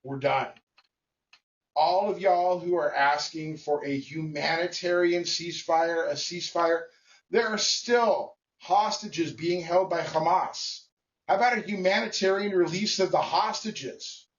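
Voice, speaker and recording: A man giving a blunt, emphatic opinion monologue to camera, speaking in short phrases with pauses. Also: sits high, distant mic, lo-fi sound. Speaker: vlogger.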